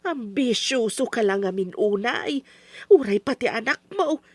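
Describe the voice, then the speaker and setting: A woman's voice: fairly high pitch, expressive, unhurried, dry recording. An actress playing a worried, reproachful older mother and wife in old radio drama style.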